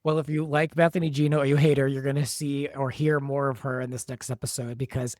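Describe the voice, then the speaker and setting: A man's voice: medium pitch, flat delivery, fast talker, darkish tone, dry recording, studio quality. A man talking casually as a panelist on a podcast about a drama. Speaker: podcast panelist from the show's team. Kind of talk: casual discussion of a drama episode.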